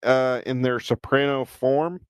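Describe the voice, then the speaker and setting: A man's voice: mid-range voice, steady tone, a little slow, clean tone, no room sound. Blunt male podcaster, dry sound. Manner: blunt, swears freely. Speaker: podcast co-host. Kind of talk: casual chat.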